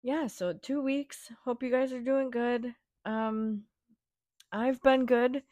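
Raspy-voiced woman recovering from a cold. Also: fairly high pitch, fairly even intonation, slow pace, dry recording, studio quality. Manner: gentle, reassuring, rambling. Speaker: podcast host. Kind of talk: casual solo podcast chat.

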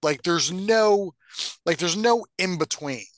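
A middle-aged man co-hosting a podcast, talking casually and haltingly, with some swearing. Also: high voice, lively intonation, dry recording. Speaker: podcast co-host. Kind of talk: casual discussion.

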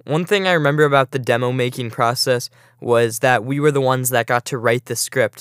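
A man's voice: mid-range voice, clean tone, no room sound, studio quality. A young man, a teen or young adult voice actor, in a produced voice-over recording. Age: teens to young adult. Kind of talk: commercial voice-over and testimonial. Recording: produced demo with music behind. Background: music.

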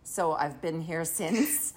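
Low-voiced woman guest from Trinidad. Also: darkish tone. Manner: thoughtful, many "um" pauses, laughs easily. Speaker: podcast guest, HR executive. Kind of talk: casual interview chat.

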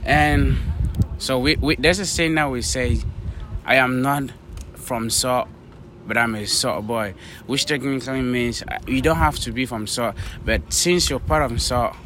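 A man's voice: mid-range voice, a little slow, fairly bright tone. Young man from Cameroon, street noise. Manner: earnest, many "like" fillers. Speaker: podcast guest. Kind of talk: casual chat.

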